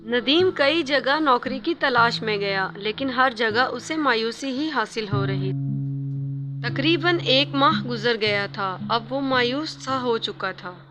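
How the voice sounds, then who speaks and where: A woman narrates a scripted moral tale. Her voice is high and she reads at a slow, measured pace. Music plays clearly behind the narration. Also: animated. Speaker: story narrator.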